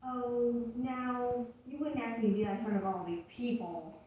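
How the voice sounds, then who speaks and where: A woman's voice: a little high, steady tone, normal speed, dark tone, lightly acted. A woman playing a lazy, naive churchgoer in a puppet show, hesitant and defensive, full of excuses. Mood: sheepish. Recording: echoey room, distant mic, faint noise.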